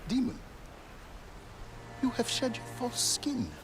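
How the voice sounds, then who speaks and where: An actress playing a bitter, exiled clan warrior, theatrical, heated and accusing, in a game drama. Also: female voice, fairly high pitch, big pitch swings, slow, background noise. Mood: angry, accusing. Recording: music behind the voice.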